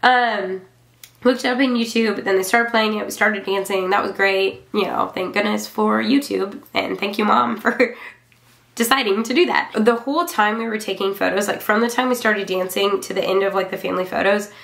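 Woman, twenties, gravelly fry, casual rambling storytelling, offhand, roomy home recording. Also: medium pitch, fairly even intonation, normal speed, fairly bright tone. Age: 20s. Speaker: vlogger reviewing own wedding. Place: small furnished room at home. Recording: roomy indoor echo.

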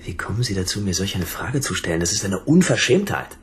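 An actor playing an irritable, suspicious husband in a radio drama, answering curtly and defensively. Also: male voice, low-pitched, big pitch swings, a little fast, a little hiss or hum. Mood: indignant. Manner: curt, indignant, suspicious. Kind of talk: German radio drama acting.

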